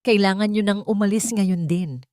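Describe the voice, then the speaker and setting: A woman's voice: medium pitch, moderate pace, a little mellow, dry recording, studio quality, voice pushed up, lightly acted. A woman voicing a frightened helper giving urgent warnings in a story drama. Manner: fearful, urgent.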